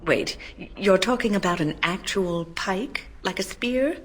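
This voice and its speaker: A woman's voice: medium pitch, lively intonation, a little slow, slight background noise, close to an everyday voice. An actress playing a prim, curious older female mage in a fantasy game, with a wry, politely bemused manner. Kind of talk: fantasy video game voice acting.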